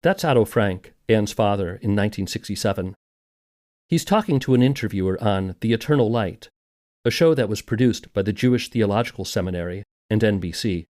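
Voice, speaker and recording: A man's voice: on the low side, lively intonation, brisk, darkish tone, no echo, studio quality. A man hosting a documentary podcast and reading scripted narration. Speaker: podcast host.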